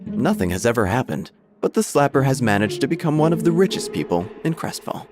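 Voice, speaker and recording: An actor playing a grandiose small-town obituary writer, narrating an audio drama in a formal, measured, dramatic way. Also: male voice, medium pitch, sing-song, natural voice. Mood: dramatic, wry. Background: music.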